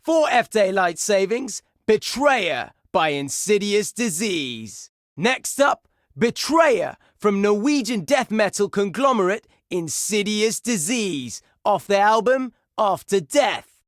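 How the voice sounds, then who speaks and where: An actor playing a brash, excitable heavy metal radio DJ in a video game, announcing songs and listener requests in a punchy, showy style. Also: male voice, high-pitched, slow, no echo, clean studio sound, heavily acted. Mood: upbeat.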